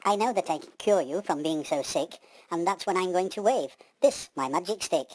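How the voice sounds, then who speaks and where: A woman's voice: lowish voice, animated, normal speed, a little mellow. Sassy good fairy as a woman plays her. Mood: reassuring. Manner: theatrical rhyming delivery.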